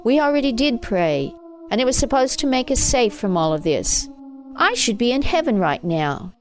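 Audiobook role: woman plays frightened, bitter teenage boy, high and hysterical, music underneath. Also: expressive, normal speed, a little mellow. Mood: bitter, whining. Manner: wailing, hysterical complaints. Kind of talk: audiobook character voice.

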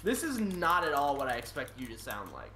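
A man's voice: medium pitch, wildly swooping pitch, brisk, bright, forceful tone, slight background noise. A man hosting an online card game with friends, talking casually and swearing freely. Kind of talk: casual profane gaming banter.

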